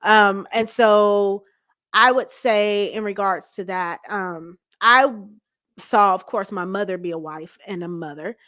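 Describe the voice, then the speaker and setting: A woman's voice: mid-range voice, slow, fairly bright tone, dry recording. An American woman in her forties talking candidly as an interview guest, acting out her own thoughts as she tells her story. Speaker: guest, pastor's wife. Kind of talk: casual interview chat.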